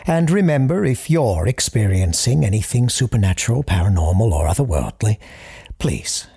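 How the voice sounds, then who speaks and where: A man playing a solemn parapsychologist who hosts a late-night call-in show in an audio drama, speaking formally and deliberately in a husky, gravelly voice. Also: lowish voice, very animated, dark tone, heavily acted. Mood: calm, formal. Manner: formal, deliberate, halting pauses. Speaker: radio call-in host. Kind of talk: scripted audio drama, deliberate radio monologue.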